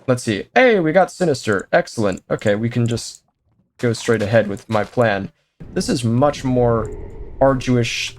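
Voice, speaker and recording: A man giving casual, self-chatting commentary as he plays a video game, thinking aloud with jokey asides and bursts of excitement. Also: mid-range voice, a little slow, slight background noise. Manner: sudden excited exclamations. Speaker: let's play host.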